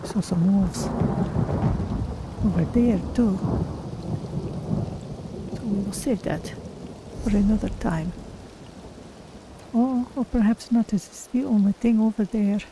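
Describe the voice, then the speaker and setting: A woman voicing a curious, cautious female cat-folk adventurer in a game, musing aloud in measured, formal phrasing. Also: medium pitch, background noise. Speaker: streamer voicing a game character. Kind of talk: in-character game playthrough narration.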